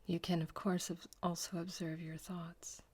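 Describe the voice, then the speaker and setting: A woman leading a guided meditation, speaking softly in a breathy, half-whispered voice with slow, gentle repetition. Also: fairly low pitch, little pitch movement.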